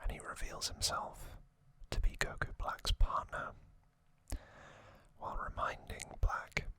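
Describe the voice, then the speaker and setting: Slowly whispering man, ASMR style. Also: pushed and bright. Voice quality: breathy unvoiced whisper. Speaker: ASMR video host. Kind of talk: whispered ASMR recap, casual and informal.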